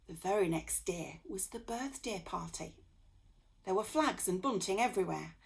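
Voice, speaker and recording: A woman storyteller reading a children's bedtime story aloud in an expressive manner. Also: mid-range voice, gravelly. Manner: expressive, with acted dialogue.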